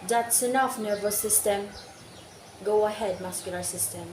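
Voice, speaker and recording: A woman's voice: medium pitch, moderate pace, natural voice. A woman playing a composed judge who formally questions witnesses in an amateur educational reader's theater play. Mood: formal, inquiring. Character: composed judge presiding over testimony of body systems. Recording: echoey room, loud background noise.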